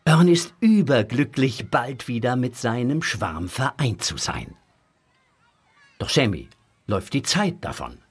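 A man's voice: mid-range voice, sing-song, measured pace, breathy edge. A man narrating a children's audio story with dramatic, scripted storytelling.

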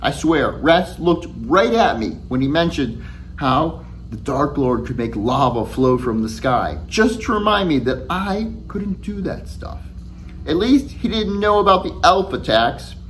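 Male author, forties, expressive storybook read, very varied intonation, chatty delivery, faint hum behind. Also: on the high side, unhurried, poor audio. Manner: animated, conversational first-person storytelling. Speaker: author reading own book aloud. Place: small indoor room at home with shelves.

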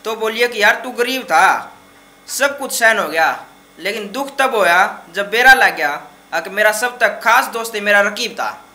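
A man's voice: high voice, expressive, measured pace, background noise. A man in his twenties with a Haryanvi accent reciting shayari at an open mic in an emphatic, dramatic way. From Haryana, India. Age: 20s. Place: small dark indoor open-mic stage. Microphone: microphone on stand close in front.

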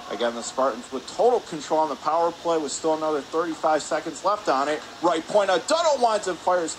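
A man calling live hockey play-by-play for radio from an indoor rink. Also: on the high side. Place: spectator area of an indoor ice rink. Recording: noisy arena hum behind the voice.

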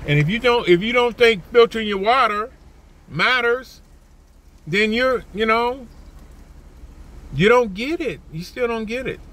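A man's voice: unhurried, pauses often. Fired-up older man ranting from his car. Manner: animated, indignant, repeats himself, jumps up in pitch when worked up. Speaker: vlogger. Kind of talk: ranting car vlog to camera. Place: parked car, daytime. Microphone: phone camera at arm's length.

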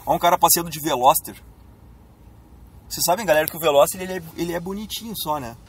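A young man with a southern Brazilian gaucho accent, a bit hoarse from a cold, chatting to viewers while driving through city traffic in a car. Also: a little high, fast. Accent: southern Brazilian, Rio Grande do Sul. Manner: chatty, addresses viewers directly. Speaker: YouTuber. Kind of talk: casual driving vlog chat. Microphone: camera mounted on dashboard.

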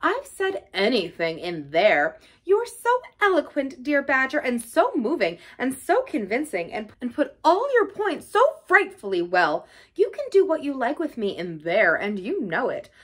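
Woman voicing an excitable, high-pitched gentleman toad. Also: normal speed, few pauses, exaggerated voice. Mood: impatient, flattering. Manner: flippant, emphatic, gushing. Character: boastful, stubborn, excitable male toad gentleman.

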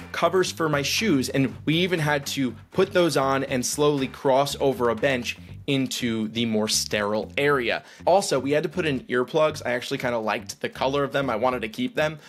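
A man in his thirties hosting a vlog, explaining a device in an upbeat, lightly joking way, recorded in a small studio room on a boom-arm mic. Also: moderate pace, soft background music. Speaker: vlog host. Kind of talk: explainer narration.

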